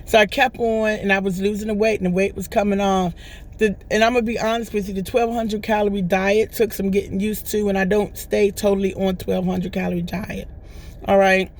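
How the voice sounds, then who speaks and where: Hoarse woman chatting on her vlog. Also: mid-range voice, flat delivery, fairly quick, a little mellow. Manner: rambling, chatty, often checks in with "right?". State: hoarse, recovering from lost voice. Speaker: vlogger. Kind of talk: casual testimonial chat.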